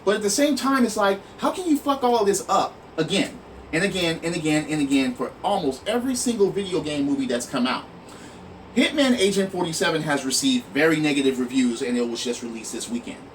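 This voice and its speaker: Guy ranting to viewers, highish voice that swings all over, sweary and exasperated, noisy recording. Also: animated, brisk. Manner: exasperated, emphatic, profane. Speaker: online video host. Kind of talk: opinionated rant to viewers. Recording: noisy, distant mic, room sound.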